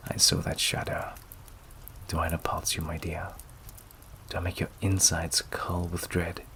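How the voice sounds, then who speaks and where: Guy doing a silky possessive vampire asmr, really deep n creaky, polite but menacing, whispery bits, rain noise behind. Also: a bit bright, full character voice. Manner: silky, teasing, theatrically polite, often dropping to whispers. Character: suave possessive male vampire, menacing, seductive, courteous. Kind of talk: intimate ASMR roleplay acting. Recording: clear background noise and ambience. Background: rain and fireplace ambience.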